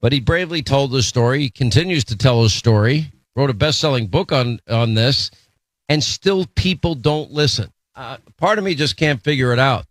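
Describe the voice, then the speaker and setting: A man's voice: mid-range voice, lively intonation, moderate pace, no echo. Indignant male radio host, dry sound. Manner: indignant, emphatic. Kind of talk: opinion commentary.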